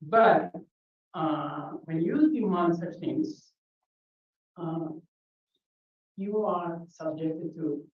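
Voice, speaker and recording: Low-voiced Ethiopian woman in an echoey hall. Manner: halting, with many restarts and fillers. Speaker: conference speaker. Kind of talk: unscripted conference talk. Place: conference hall. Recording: muffled, echoey, distant from the mic.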